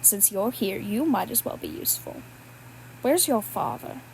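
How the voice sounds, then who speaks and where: A woman voicing a polished, formal man who speaks in a measured, composed way. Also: mid-range voice, expressive, vocal fry, a little mellow, slight background noise, poor audio.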